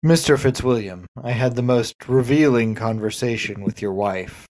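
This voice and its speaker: A man's voice: mid-range voice, moderate pace, a little mellow, lightly acted. A man playing a patient police detective in a comic murder mystery reading, questioning suspects politely and insistently.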